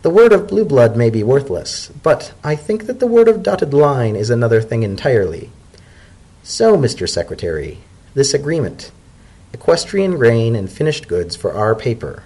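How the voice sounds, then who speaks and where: A man voicing an elderly female zebra scholar-diplomat in a storybook reading, genteel, shrewd and indulgent, with measured, formal, gently wry speech. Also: medium pitch, very animated, breathy edge, poor audio. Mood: shrewd, agreeable.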